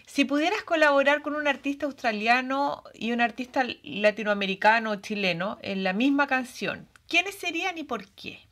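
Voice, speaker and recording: A woman hosting a radio show and interviewing a guest, with quick affirmations and bright reactions. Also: high-pitched, lively intonation.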